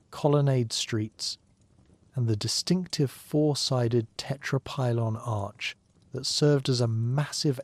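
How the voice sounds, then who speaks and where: A man narrating a documentary in a gentle, even, scripted way, with dry asides. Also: mid-range voice, no echo. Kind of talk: calm scripted sleep narration.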